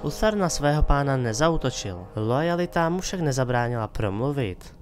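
A man's voice: medium pitch, expressive. A man giving scripted dramatic narration of a fantasy story as the narrator of an audio drama. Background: music.

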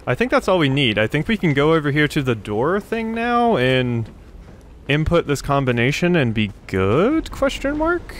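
A man's voice: on the high side, wildly swooping pitch, normal speed, a little hiss or hum. A man giving casual game commentary, musing to himself as he works things out. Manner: thinking aloud, musing to himself. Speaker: let's play host.

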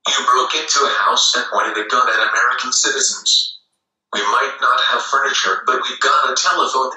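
Man acting defiant American, sharp echoey voice. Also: medium pitch. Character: defiant American man covering for his companion. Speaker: scene reader for an audition. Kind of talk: acted film audition dialogue. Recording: echoey room, far from microphone.

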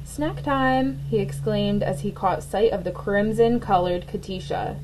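A woman reads a children's story aloud with expressive, clear phrasing. Her pitch is average, and there is clear background noise on the recording. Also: normal speed. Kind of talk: children's picture book read-aloud.

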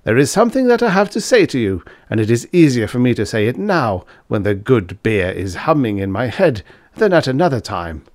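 A man reading a story aloud, voicing a half-drunk young male student who speaks loudly and urgently. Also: mid-range voice, sing-song, a little fast, pitched above the performer's own voice, natural voice. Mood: persuading.

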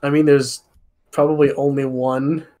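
A man's voice: medium pitch, little pitch movement, measured pace, warm tone. A man who voice acts, chatting casually and jokingly on a fan dub panel.

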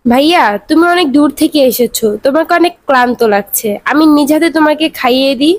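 A woman playing a caring young little sister in a cartoon, gentle and solicitous. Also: high-pitched, quick pace, no echo, close to an everyday voice. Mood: tender. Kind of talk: cartoon voice acting.

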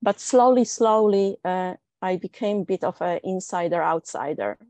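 Measured woman with a Polish accent. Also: on the low side, warm tone, no echo. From Poland. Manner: measured and reflective, many filler uhs. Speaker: panel speaker. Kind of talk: panel discussion.

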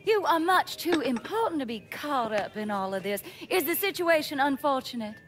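An actress playing a composed, calculating high-society woman in a video game, speaking in a measured, admonishing way. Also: sing-song, a bit bright, soft background music. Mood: cool, admonishing. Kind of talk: dramatic video game acting.

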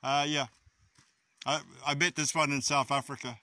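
Kiwi man, outdoor hike companion, light joking banter, crisp bright tone, slightly higher voice. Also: level tone, moderate pace. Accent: New Zealand. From New Zealand. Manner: jokey, laughs often. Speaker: hiking companion, co-vlogger. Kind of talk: casual banter. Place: outdoors on a hiking trail.

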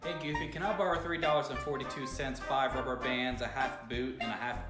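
A man's voice: medium pitch, steady tone, a little fast. A man performing a comedy skit, reciting his scripted lines. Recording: echoey room, loud music behind.

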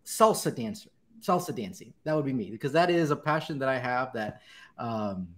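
A man from Colombia hosting a casual chat over a video call, upbeat and animated. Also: mid-range voice, moderate pace. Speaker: podcast host. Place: home room indoors. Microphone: video call webcam.

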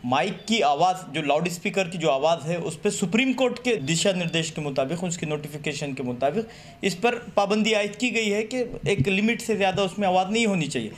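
High-voiced man, formal press briefing. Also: lively intonation, brisk. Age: 30s. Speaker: political party representative. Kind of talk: press statement. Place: small office room, seated at a table. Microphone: press microphones on the table. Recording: clearly noisy room recording.

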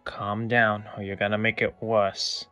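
A man voices a grumpy little fish demon for a cartoon dub. He is fairly low but sharp-toned. His manner is curt, prickly and sullen. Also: level tone, a little slow, smooth, muffled recording, faint music behind. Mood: impatient. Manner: irritable, curt. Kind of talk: animated comic dub acting.

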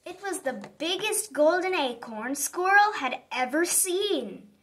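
A woman reading a children's story aloud in an expressive, dramatic manner for young listeners. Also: extremely high pitch, measured pace. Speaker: storybook reader. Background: background music.

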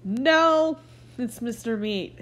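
A woman's voice: fairly high pitch, animated, takes it slowly, slight background noise. A woman giving a casual, sweary reaction commentary and reading text aloud, sounding sniffly with a cold. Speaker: reaction video host.

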